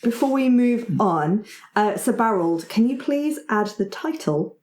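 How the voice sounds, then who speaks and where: A woman's voice: a little high, lively intonation, unhurried, a little mellow, slight background noise. A woman narrating a tabletop roleplaying game as game master, in a casual, improvised way. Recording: roomy, echoing sound.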